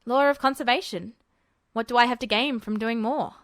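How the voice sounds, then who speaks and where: A woman's voice: fairly high pitch, normal speed, a bit bright, no room sound. Woman voicing a brash, curt teenage boy, close and dry. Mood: curt, smug. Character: brash, defiant teenage boy alchemist, guarded.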